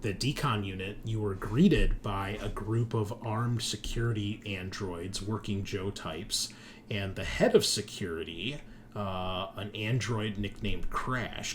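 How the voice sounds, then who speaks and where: A man working as game master, recapping and narrating a tabletop game session in a halting, conversational way, thinking aloud. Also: on the low side, slight background noise.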